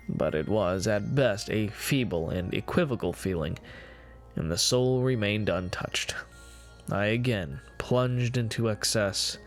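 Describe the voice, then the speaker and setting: A haunted man confesses his dark deeds in a gothic horror tale performed by a male reader. His low voice is solemn and deliberate, with formal, theatrical phrasing. Faint music plays behind him. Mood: grim, remorseful. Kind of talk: dramatic reading of gothic horror story.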